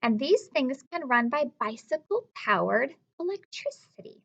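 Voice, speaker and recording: A woman with a fairly high voice speaks as an elementary teacher reading aloud to children. She explains in simple terms and puts encouraging questions to her young listeners. The recording sounds somewhat dull and lo-fi. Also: big pitch swings, a little slow, creaky, a bit bright, husky. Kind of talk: read-aloud lesson for children with asides and questions.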